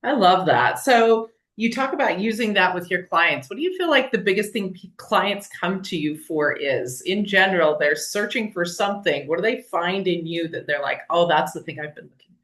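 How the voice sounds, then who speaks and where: A woman's voice: on the low side, animated, moderate pace, fairly bright tone. Effusive woman podcast host. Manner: effusive, asks long rambling questions. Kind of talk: casual podcast interview.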